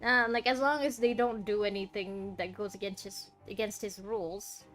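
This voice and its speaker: A woman's voice: mid-range voice, steady tone, moderate pace, fairly bright tone, soft background music. A woman giving casual, rambling live commentary as she plays a video game. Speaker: game streamer.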